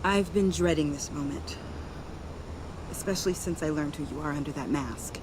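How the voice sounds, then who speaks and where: A woman's voice: low-pitched, moderate pace, noisy background, natural voice. A woman playing a young, guilt-ridden yet defiant former ally in a video game, earnest, confiding and emotional. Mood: anguished, pleading. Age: young adult. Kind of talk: video game dramatic acting.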